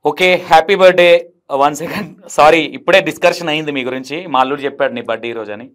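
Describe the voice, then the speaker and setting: A man's voice: on the high side, steady tone, rapid-fire, a bit bright. A man hosting a casual phone interview, asking probing questions in a teasing, prodding manner. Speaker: interview host.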